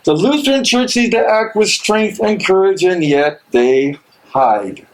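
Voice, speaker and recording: A man's voice: high voice, lively intonation, unhurried, poor audio. A man acting a troubled but resolute imprisoned theologian in an amateur stage reading, anguished, brooding and hesitant. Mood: troubled, questioning.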